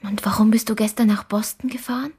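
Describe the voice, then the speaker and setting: An actress playing a worried, forgiving young daughter in a radio drama, with a soft, breathy voice. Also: female voice, fairly high pitch, steady tone, fast. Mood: hushed, concerned.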